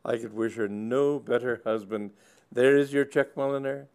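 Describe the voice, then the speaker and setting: A man playing a pompous, tearful old male country squire who speaks loudly and grandly. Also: fairly even intonation, normal speed, clear, resonant tone, natural voice. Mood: expansive. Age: older. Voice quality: high, loud and pompous.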